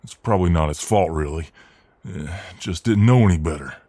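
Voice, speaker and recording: A man's voice: low-pitched, a little fast, warm tone, no echo. A man acting out a friendly, protective, cocky Southern US mailman in an ASMR role-play, with a folksy, soothing drawl. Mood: reassuring, concerned.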